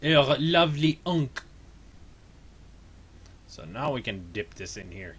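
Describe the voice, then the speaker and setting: Finnish guy w american accent, chatty, pitch all over the place, playing a game. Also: very animated, slow pace, fairly bright tone, slightly rough. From Finland. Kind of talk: casual let's play commentary.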